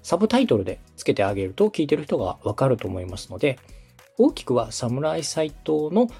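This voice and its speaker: A man's voice: medium pitch, wildly swooping pitch, brisk, creaky, mellow, slightly rough, faint music behind. A man from Osaka speaking as a podcast host, giving polite, organized step-by-step advice in a conversational way. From Osaka, Japan. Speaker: podcast host and radio director advising a beginner.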